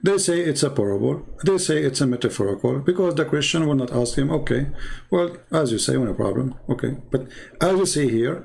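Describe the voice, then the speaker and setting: A man's voice: medium pitch, lively intonation, brisk, gravelly, darkish tone, breathy edge. An English-speaking man hosts a religious debate, pressing his opponent with confrontational, repeated demands. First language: not English. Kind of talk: live religious debate, cross-examination.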